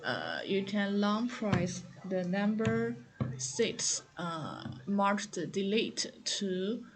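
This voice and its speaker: A woman giving an unscripted product tutorial, explaining step by step in a halting, hesitant manner. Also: medium pitch, sing-song, faint music behind, low-quality recording. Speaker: product demonstrator.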